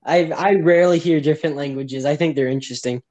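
A man's voice: on the high side, fast talker, a little mellow. Upbeat male podcast host on a call. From Mexico. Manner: playful, upbeat, asks lots of questions. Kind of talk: casual chat. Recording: remote call, voice breaking up.

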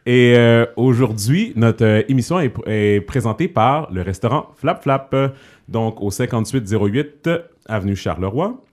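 A man's voice: mid-range voice, moderate pace. A man with a Quebec French accent hosting a casual podcast, chatting loosely with fillers and English phrases mixed in. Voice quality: relaxed, many fillers, slips into English phrases. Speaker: podcast host.

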